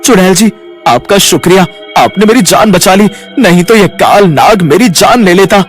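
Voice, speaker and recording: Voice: mid-range voice, expressive, normal speed, soft background music, close to an everyday voice. A performer voicing a small, sad male cartoon parrot, meek and grieving, with plaintive, respectful pleading. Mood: grateful, apologetic. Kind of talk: Hindi cartoon character voice.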